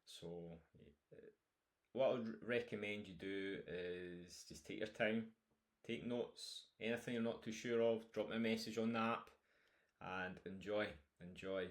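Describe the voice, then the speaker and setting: A man's voice: fairly low pitch, steady tone, a little slow. A Scottish man, a nutrition coach, talking informally through a recorded course introduction with many fillers and restarts.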